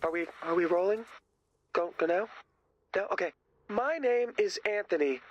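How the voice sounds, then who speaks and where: Swooping, cocky rock-drummer showman. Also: high-pitched. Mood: boastful. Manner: grandiose showman, dramatic emphasis. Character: cocky, self-promoting male rock drummer hosting his own show. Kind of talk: video game voice acting as a showy TV presenter.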